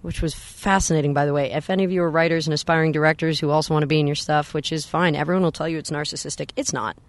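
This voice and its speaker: A woman in her thirties with a low voice, talking bluntly and candidly as a guest in a casual chat, swearing freely. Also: steady tone, racing, no room sound.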